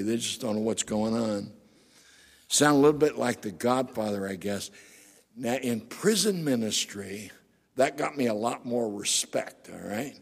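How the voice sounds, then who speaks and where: A middle-aged male pastor with a gravelly, raspy voice, preaching emphatically and asking the congregation rhetorical questions. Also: mid-range voice. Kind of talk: sermon. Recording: long hall reverb.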